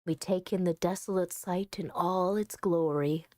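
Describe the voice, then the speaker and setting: A woman's voice: on the low side, fairly even intonation, a little slow, warm tone, no room sound, studio quality, voice pushed down, close to an everyday voice. A woman voicing a wistful, devoted, earnest narrator of a visual novel love story. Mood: tender, solemn. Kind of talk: visual novel read aloud.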